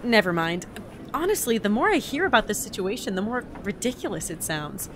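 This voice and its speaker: A woman playing an exasperated, excitable agent from another reality in an audio drama, stammering and sarcastic, with background noise. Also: medium pitch, fairly quick, natural voice. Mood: weary, annoyed.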